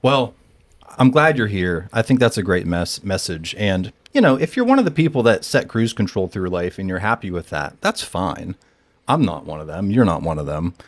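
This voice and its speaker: A man's voice: low-pitched, very animated, normal speed, dry recording. A man hosting a podcast, asking his guest questions in an easygoing, joking way. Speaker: podcast host. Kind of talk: casual interview.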